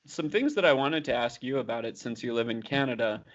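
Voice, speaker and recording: A man's voice: mid-range voice, animated, brisk. A man in a mid-range voice hosts a casual podcast, asking questions and reacting in short bursts. The audio is dull and lo-fi. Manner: asks questions and reacts briefly. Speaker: podcast host. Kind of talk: casual interview chat.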